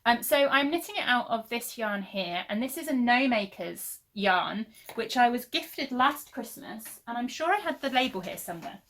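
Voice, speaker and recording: A woman's voice: on the high side, animated, moderate pace, hardly any pauses, fairly bright tone. A woman in her thirties, hosting a casual knitting podcast from home, chatty and rambling with lots of fillers, her voice a little worn. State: losing her voice. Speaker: podcast host. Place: at home, indoors.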